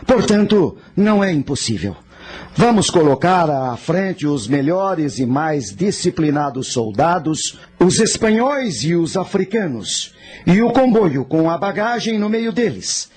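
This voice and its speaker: Actor playing a bold, commanding ancient general, animated delivery. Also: male voice, a little high, breathy edge, full character voice. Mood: confident, resolute. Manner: commanding, deliberate orator. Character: Hannibal, bold confident Carthaginian general commanding his army. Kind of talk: radio drama acting.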